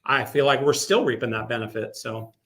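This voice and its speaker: Male sports announcer as interview guest, bright forward voice, casual, emphatic storyteller. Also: mid-range voice, expressive, a little fast.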